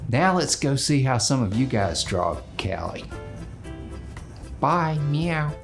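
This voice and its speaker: A man with a creaky voice casually talks through his drawing in an echoey room. He muses in a laid-back way, with music playing clearly behind him. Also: mid-range voice, big pitch swings. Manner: laid-back, musing, unhurried. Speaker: illustrator giving a drawing demonstration. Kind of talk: casual drawing tutorial, thinking aloud.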